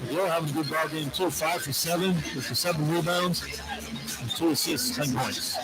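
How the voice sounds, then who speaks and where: A man's voice: on the high side, a little fast, loud music behind the voice, rough recording. A man giving color commentary and statistics on a live basketball radio broadcast. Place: large indoor arena.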